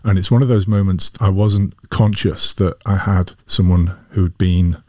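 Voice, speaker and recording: A man's voice: low-pitched, steady tone, moderate pace, dark tone, no room sound, studio quality. A man hosting an interview, asking thoughtful, probing questions. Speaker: podcast host and MBA teacher. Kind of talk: interview conversation.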